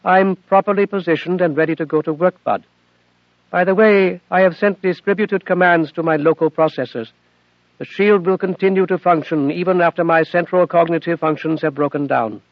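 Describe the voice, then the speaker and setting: A male narrator raises his voice into a gentle, earnest female AI from an audiobook, dutiful and plain-spoken. She sits a few notes above his own voice. The recording is dry and a little dull. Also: a little high, fairly even intonation, normal speed, no echo, pitched above the performer's own voice, natural voice. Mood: calm.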